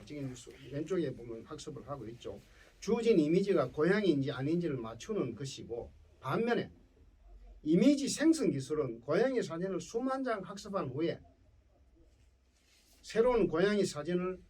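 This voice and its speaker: A man's voice: fairly high pitch, a little mellow, husky, recorded from a distance, low-quality recording. A man with a Gyeongsang accent giving a lecture to school students as a professor, often checking in with his listeners. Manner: checks in with listeners using tag questions. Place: indoor lecture before students.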